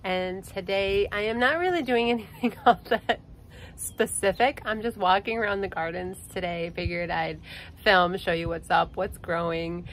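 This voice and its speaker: A woman's voice: mid-range voice, moderate pace, slight background noise. Congested woman vlogging in her garden. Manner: chatty, friendly explainer. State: congested, nasal from allergies. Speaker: gardening channel host. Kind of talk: casual walking garden vlog. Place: outdoors on a porch and in a garden. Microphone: phone camera held at arm's length.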